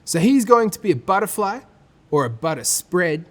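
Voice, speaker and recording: A man acts out a mocking Australian schoolboy bully from a picture book. The voice jumps high and swings around, jeering and taunting. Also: big pitch swings, normal speed. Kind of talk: children's storybook read aloud.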